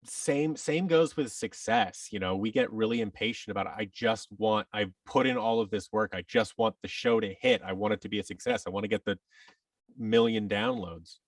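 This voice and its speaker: A man's voice: fairly low pitch, moderate pace, dry recording, far from the mic. A man giving advice as a podcast guest in a casual, rambling, emphatic way, often voicing imagined quotes as he explains.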